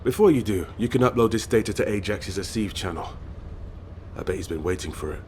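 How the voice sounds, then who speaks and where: Deep, deadpan actor as aloof male soldier. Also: fairly quick, background noise, close to an everyday voice. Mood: serious. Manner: terse, deadpan. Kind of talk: game dialogue.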